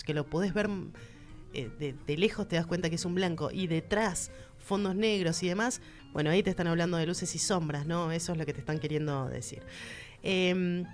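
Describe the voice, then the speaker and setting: A woman with a Rioplatense accent hosting a casual art-history segment, chatty and emphatic, speaking straight to the listener. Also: lowish voice. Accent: Rioplatense Spanish. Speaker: culture segment host.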